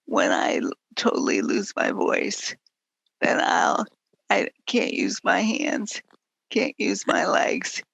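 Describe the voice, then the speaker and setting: A woman speaking frankly in a group conversation in a weakening, often whispered voice, halting and breathy with long pauses. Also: medium pitch, very animated, a bit bright, no room sound. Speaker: host of a conversation series.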